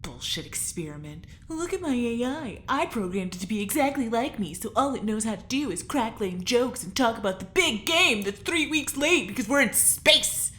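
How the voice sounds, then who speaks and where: A woman's voice: on the high side, very animated, normal speed, hardly any pauses, fairly bright tone. A woman playing a sarcastic, wary, grumbling test subject in a sci-fi audio drama, blunt in her delivery. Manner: sardonic, blunt.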